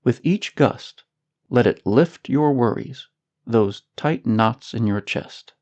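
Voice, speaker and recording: A man narrating a scripted guided meditation in a soothing, measured, unhurried manner. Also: medium pitch, animated, a little mellow, dull audio.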